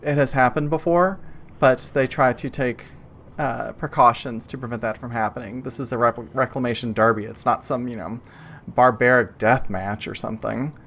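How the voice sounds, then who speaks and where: A man voicing a seasoned female computer-program driver in a tabletop game, measured and matter-of-fact. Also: mid-range voice, steady tone, fairly bright tone, slight background noise, natural voice. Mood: coolly confident.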